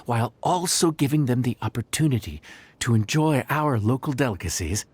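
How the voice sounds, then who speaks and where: An actor playing an affable, patient inn cook who explains things in an encouraging, folksy way in a video game. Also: male voice, mid-range voice, animated, normal speed, noisy background, natural voice. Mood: instructive. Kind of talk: video game cutscene acting.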